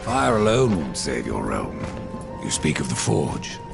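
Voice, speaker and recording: Grim, gravelly, extremely deep horseman. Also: wildly swooping pitch, unhurried, music in the background, heavily acted. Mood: grim, resolute. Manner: dry, sardonic, menacing. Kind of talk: video game cutscene acting.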